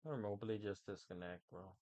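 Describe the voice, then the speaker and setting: A man's voice: fairly low pitch, a little fast, dark tone, no room sound. A man live-streaming a video game, commenting in a slangy, offhand way. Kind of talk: casual live gaming commentary, reading dialogue aloud.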